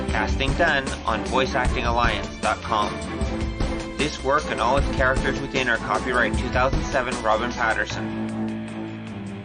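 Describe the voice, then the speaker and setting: Guy announcer reading out audio drama credits, medium pitch, really loud music behind. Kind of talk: scripted announcement.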